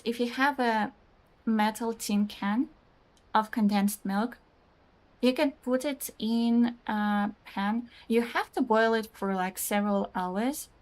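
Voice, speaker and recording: A woman's voice: a little high, a little mellow. Chatty young woman, Russian first language. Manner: rambling, reads out chat messages, laughs often. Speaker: game streamer. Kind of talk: casual chat while streaming a game.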